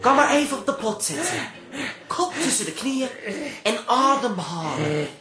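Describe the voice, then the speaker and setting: A man's voice: very high voice, sing-song, unhurried, music in the background, exaggerated voice. An actor playing a coaxing, reassuring male friend in a radio play. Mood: soothing. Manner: coaxing, encouraging. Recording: roomy echo, people in background.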